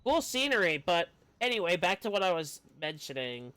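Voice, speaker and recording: A man chatting casually on a game livestream, rambling and halting as he talks. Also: sits high, sing-song, pushed and bright, smooth, no echo. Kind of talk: casual chat while streaming a game. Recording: game music behind the voice. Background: video game music.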